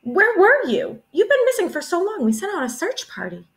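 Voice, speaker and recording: Woman acting a worried, high-pitched storybook mother. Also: wildly swooping pitch, normal speed, full character voice. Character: worried, scolding mother of a small child. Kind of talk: children's storybook character reading.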